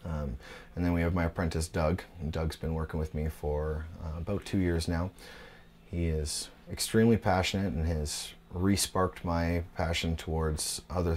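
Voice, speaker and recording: Man, 30s, tattoo shop owner, interview. Very low and flat, laid-back, lots of "uh". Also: slight background noise. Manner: laid-back, unhurried, frequent "uh" fillers. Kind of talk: documentary interview.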